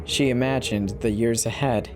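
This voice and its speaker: A man delivers a dramatic, scripted horror narration in a mid-pitched voice. He tells the tale as a storyteller would, with faint music playing behind him. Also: steady tone, normal speed. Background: background music.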